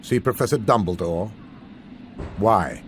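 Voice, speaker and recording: A man's voice: fairly low pitch, unhurried, a little hiss or hum, close to an everyday voice. A man playing a stern, curt wizard-school teacher with a clipped, authoritative manner in a video game. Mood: stern, dismissive. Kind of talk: video game cutscene acting.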